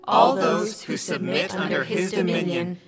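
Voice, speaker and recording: A woman playing a devout believer who solemnly reads scripture aloud in a dubbed stage drama, measured and reverent. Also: on the low side, lightly acted. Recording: loud music under the voice.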